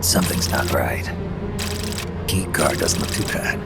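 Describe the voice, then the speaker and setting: A man voicing a gruff, weary, stoic middle-aged soldier in a video game, with a deep, gravelly voice. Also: expressive, unhurried, over loud music, heavily acted. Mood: terse, focused.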